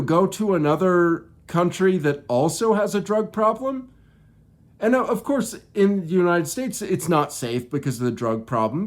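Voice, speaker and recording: A man delivering an informal opinion monologue in a halting, preachy manner, often repeating and rephrasing himself. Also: on the high side. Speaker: video creator.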